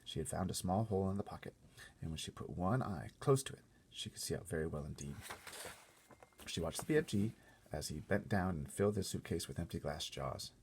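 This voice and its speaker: A man with a gravelly, husky voice reading a storybook aloud expressively, with casual asides. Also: deep voice, lively intonation, a little fast, darkish tone, a little hiss or hum. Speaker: storybook reader.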